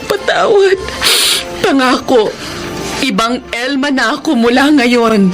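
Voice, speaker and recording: High-voiced remorseful Filipina wife. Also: female voice, expressive, takes it slowly. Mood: remorseful, pleading. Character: remorseful, pleading wife and mother. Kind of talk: melodramatic radio drama acting. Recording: music bed behind.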